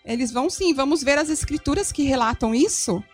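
A woman's voice: high-pitched, expressive, fairly quick, faint music behind. A woman with a Brazilian accent giving live consecutive interpretation of a sermon, phrase by phrase.

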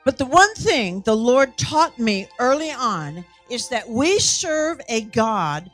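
A woman in her sixties preaching a sermon emphatically and deliberately, in short phrases with pauses. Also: fairly high pitch, very animated, soft background music. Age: 60s.

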